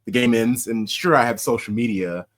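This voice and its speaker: A young American man hosting a casual podcast, an animated storyteller who laughs often and shouts out quoted chants. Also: mid-range voice, moderate pace. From United States. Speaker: podcast host.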